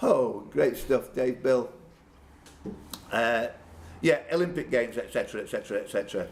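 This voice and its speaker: A man's voice: medium pitch, moderate pace. A man hosting a live pub quiz, reading out questions and chatting jokily with contestants. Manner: jokey banter with contestants; reads questions aloud, then chats off the cuff. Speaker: quiz host. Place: indoors at a pub quiz event. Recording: background noise in a pub room.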